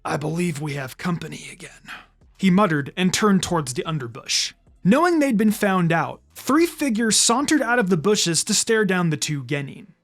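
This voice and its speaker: A man's voice: sits high, sing-song, moderate pace, faint music behind. A man reading fan fiction aloud as a dramatic story narrator.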